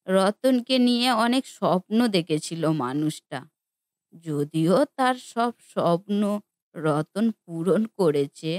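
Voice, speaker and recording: A woman's voice: lowish voice, darkish tone, dry recording, studio quality. A woman playing a doting elderly widowed mother in a cartoon family drama, speaking in a reflective, motherly way. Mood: wistful.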